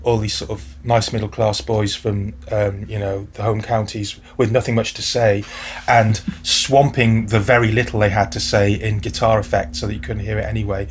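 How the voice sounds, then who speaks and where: A man's voice: fairly low pitch, flat delivery, moderate pace, a little hiss or hum. A man, talking as a podcast guest in a thoughtful, articulate, wry way. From South Wales. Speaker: guest music journalist. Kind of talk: casual podcast conversation.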